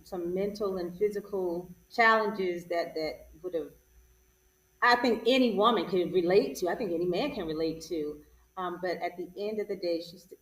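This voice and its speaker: A woman's voice: fairly low pitch, a little hiss or hum. A woman answering casually as an interview guest, offering encouraging advice. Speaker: stage actress, show guest. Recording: echoey room, distant from the mic.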